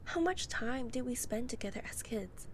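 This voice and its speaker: A woman playing a college-age goth friend in an ASMR roleplay, speaking softly, often in a breathy near-whisper, with playful, dry teasing. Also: a little high, a little hiss or hum.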